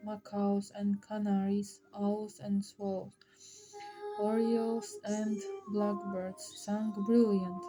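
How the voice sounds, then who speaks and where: A woman reading a fairy tale aloud as storyteller, with halting, dramatic phrasing. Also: medium pitch, low-quality recording.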